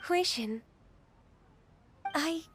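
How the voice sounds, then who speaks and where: A woman playing a gentle, modest secretary in a video game, with polite and formal speech. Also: very high voice, lively intonation, extremely slow, slight background noise, heavily acted. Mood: moved, wistful. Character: gentle, modest, long-lived secretary, youthful-sounding.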